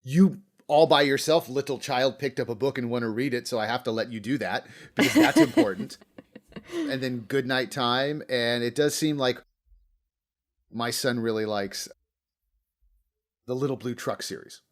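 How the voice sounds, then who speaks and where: A man's voice: medium pitch, lively intonation, normal speed. A man hosting an interview in a casual, rambling way, thinking aloud and acting out little quotes. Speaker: podcast host.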